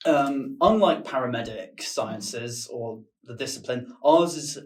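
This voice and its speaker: A man's voice: medium pitch, unhurried, a little mellow, distant mic. A man who studies history, a podcast guest chatting casually with dry, wry humour. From England. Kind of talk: casual chat.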